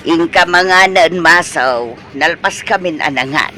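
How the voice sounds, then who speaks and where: A man's voice: fairly high pitch, slow, sharp tone, soft background music. A man playing a fussing, tender, pious parent in an Ilocano radio comedy drama, with an Ilocano accent and consoling, sighing exclamations. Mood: worried, consoling.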